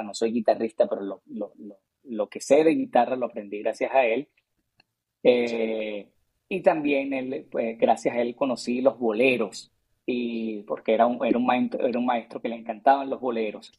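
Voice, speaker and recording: A man's voice: mid-range voice, slightly rough, dull audio. A Venezuelan man in his thirties, a singer-songwriter being interviewed, answering in a gracious, earnest way with many repeated words and fillers. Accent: Venezuelan Spanish. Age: 30s.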